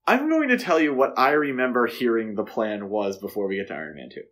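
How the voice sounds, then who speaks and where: A man's voice: medium pitch, big pitch swings, fast talker, a bit bright. A man co-hosting a podcast, discussing films in a casual, conversational and opinionated way. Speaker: podcast co-host.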